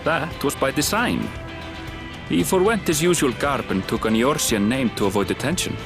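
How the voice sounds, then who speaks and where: An actor playing a composed male guide who explains rivals and history in a measured, slightly dry way in a video game cutscene. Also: medium pitch, animated, close to an everyday voice. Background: game score music.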